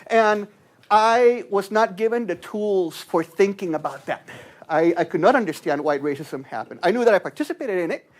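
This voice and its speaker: A man's voice: sits high, expressive, normal speed. A man from Guatemala giving a university lecture as a guest anthropologist, talking conversationally and tagging his points with "right?".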